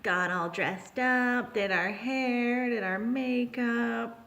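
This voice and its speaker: A woman's voice: on the high side, takes it slowly, creaky. A young adult woman with a Canadian accent tells a personal anecdote in an informal, chatty vlog style. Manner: animated when acting out dialogue, informal. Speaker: storytelling vlogger. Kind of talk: casual anecdotal storytelling.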